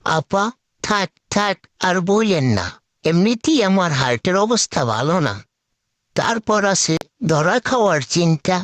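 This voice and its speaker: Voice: medium pitch, lively intonation, a little slow, dry recording, natural voice. An actor puts on a scruffy male crow sidekick from a comic cartoon, servile and forever aggrieved. The voice swoops widely as it pleads in rustic, colloquial talk. It is recorded dry and close. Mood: whining.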